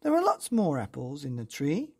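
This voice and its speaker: A woman voicing a kind, patient young female friend in a storybook, speaking gently and soothingly. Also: deep voice, wildly swooping pitch, normal speed, breathy edge, full character voice. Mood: gentle.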